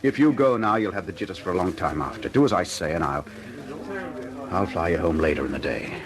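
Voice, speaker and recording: An actor playing a hard-drinking but steady, frank RAF airman in an old-time radio drama. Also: deep voice, a little fast. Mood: grave, firm. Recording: old radio recording, party chatter behind.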